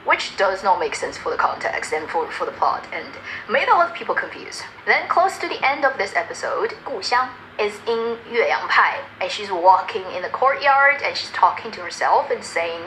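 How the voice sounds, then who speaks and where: A woman with a bright, sharp voice explains dialogue in a lively, chatty way, laughing often as she goes. A faint hiss sits under her voice. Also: medium pitch, normal speed, low-quality recording. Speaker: commentator explaining drama dialogue. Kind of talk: explanatory chat.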